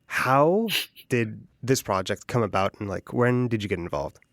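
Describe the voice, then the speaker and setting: A man with a fairly low voice hosts a review podcast. The sound is dry, and he presents and asks questions in a conversational style. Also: expressive, normal speed, no room sound. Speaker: podcast review show host. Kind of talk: conversational hosting and interviewing.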